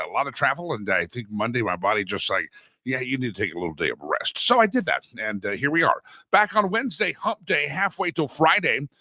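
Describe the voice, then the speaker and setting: Stuffy-voiced male talk host, bright tone. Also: mid-range voice, no echo, clean studio sound. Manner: folksy, chatty, many restarts and asides. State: stuffy head cold, voice a bit sore. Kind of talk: casual political talk show monologue. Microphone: studio microphone.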